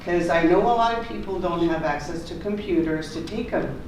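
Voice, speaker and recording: A woman's voice rings through a very echoey meeting room over clear background noise. She is a resident at public comment, on the low side and loud, with a direct, informal way of putting questions and suggestions. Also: fairly quick, far from the mic. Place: council chamber at city hall.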